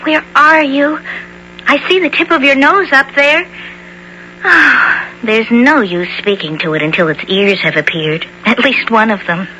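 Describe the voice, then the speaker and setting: A woman's voice: fairly high pitch, unhurried, sharp tone. An actress playing an earnest, polite, easily exasperated young girl in an old-time radio drama, exclaiming and wide-eyed. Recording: noisy old radio broadcast.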